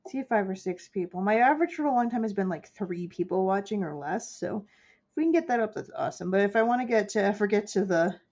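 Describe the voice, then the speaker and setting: A woman's voice: medium pitch, vocal fry, a little mellow, lo-fi sound. A woman with a stuffy nose from a cold, chatting casually and explaining her steps as she paints for a live stream. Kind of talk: casual chat while painting.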